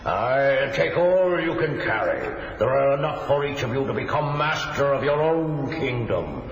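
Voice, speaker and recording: A man's voice: mid-range voice, big pitch swings, measured pace, highs cut off. An actor playing a proud, anguished king and father, speaking in a regal, declamatory way. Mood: urgent, commanding. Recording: echoey hall, long reverb, noisy background.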